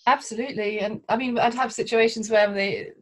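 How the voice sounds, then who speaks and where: A woman's voice: fairly high pitch, steady tone, a little fast. A middle-aged woman from London, a translator being interviewed, talking in a chatty way with frequent hesitations. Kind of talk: conversational interview.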